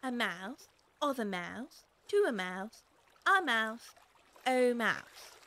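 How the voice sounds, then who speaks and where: A polite curious little girl in an audiobook, really high bright voice, earnest and chattering to herself. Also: sing-song, takes it slowly, exaggerated voice. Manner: earnest, rambling, musing aloud. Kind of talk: full-cast audiobook acting.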